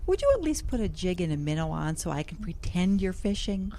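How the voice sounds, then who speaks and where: A woman's voice: fairly low pitch, sing-song, brisk, dark tone, slight background noise. A woman playing a weary small-town woman in an audio drama, curt, dry and easily exasperated. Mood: dry, resigned. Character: terse, weary, no-nonsense small-town woman, newly divorced.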